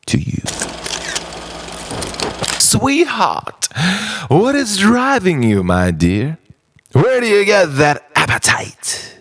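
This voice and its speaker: A man performing a theatrical spoken word monologue in short, deliberate phrases, dramatic and shifting between hushed and loud delivery. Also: medium pitch, no room sound. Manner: dramatic, swinging between hushed whispers and shouted outbursts.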